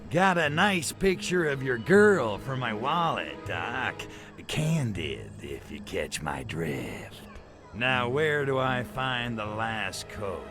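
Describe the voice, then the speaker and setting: An actor playing a cocky, lecherous alien invader in a video game, delivering sardonic wisecracks full of innuendo. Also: male voice, medium pitch, sing-song, slow pace, music in the background. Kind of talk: video game cutscene acting.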